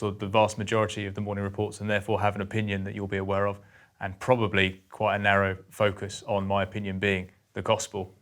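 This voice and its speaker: A man hosting a business podcast, chatting briskly and with self-deprecating humor about markets. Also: on the low side, fairly bright tone. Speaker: podcast host, company executive. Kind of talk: casual market discussion.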